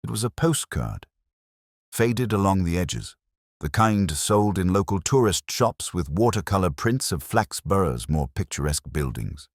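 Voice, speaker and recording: A man reading scripted narration of a detective mystery in a measured, literary storytelling manner. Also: low-pitched, sing-song, no echo, clean studio sound.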